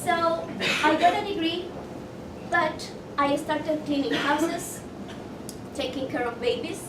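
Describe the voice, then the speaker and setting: A woman from Ecuador, presenting a motivational workshop and asking her audience questions. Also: high-pitched. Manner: slow and deliberate, asks the audience questions. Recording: echoey room, mic far off, noisy.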